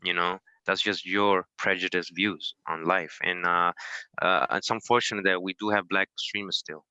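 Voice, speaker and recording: A man's voice: deep voice, fairly even intonation, normal speed, pushed and bright, smooth, no room sound, low-quality recording. A man from Costa Rica in a casual discussion, speaking informally and often adding "you know.".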